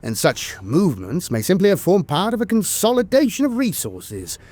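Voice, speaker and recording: A man's voice: sits high, wildly swooping pitch, normal speed, darkish tone, dry recording. A man narrating scripted lore as a storyteller, with a grave, dramatic delivery.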